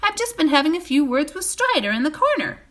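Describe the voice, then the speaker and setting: A woman's voice: sits high, very animated, fairly quick, fairly bright tone, voice pushed up. A woman voicing a polite, uneasy male hobbit traveler in a storybook, courteous and defensive. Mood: apologetic, polite.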